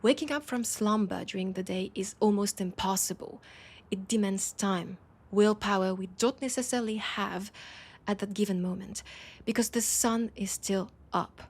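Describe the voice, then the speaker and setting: Woman doing a proud worried vampire lady, french accent, raspy n creaky, halting earnest audio roleplay delivery. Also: medium pitch. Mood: grave, troubled. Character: proud, worried female vampire of a supernatural council. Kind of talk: intimate scripted audio roleplay.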